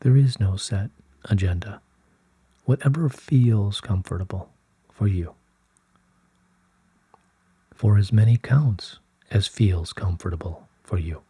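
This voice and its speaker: Slow, very mellow man, solemn and breathy. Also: fairly low pitch, no echo. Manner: solemn, measured, soothing delivery. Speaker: reader of channelled spiritual messages. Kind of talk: slow scripted reading of spiritual guidance.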